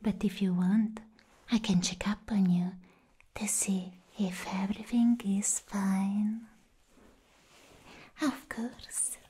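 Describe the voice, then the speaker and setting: Female performer as attentive doctor with hidden madness, ASMR roleplay; whispered, soft, unhurried, coy. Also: medium pitch, steady tone, slightly rough. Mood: soothing, attentive. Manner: slow, soothing, coy. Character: attentive, smooth-talking woman doctor with sly madness. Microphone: close microphone.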